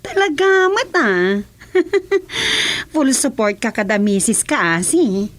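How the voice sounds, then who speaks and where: Slow, high-pitched teasing Ilocano woman. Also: very animated, fairly bright tone, full character voice. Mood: teasing, cautioning. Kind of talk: Ilocano radio drama comedy acting.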